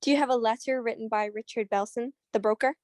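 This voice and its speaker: A woman acts out a cool, knowing dame in an old-time radio detective play. Her voice sits fairly high and is recorded very dry, and her wit is just as dry. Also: fairly even intonation, fast talker, dry recording, close to an everyday voice. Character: cool, dry-witted female mystery woman. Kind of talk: 1940s radio mystery acting.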